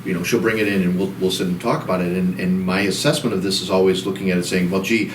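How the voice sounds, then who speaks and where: A man's voice: low-pitched, steady tone, fast, far from the mic, a little hiss or hum. A man co-hosting a casual chat show, rambling with many restarts, his voice soothing. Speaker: co-host. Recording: echoey room, off-mic.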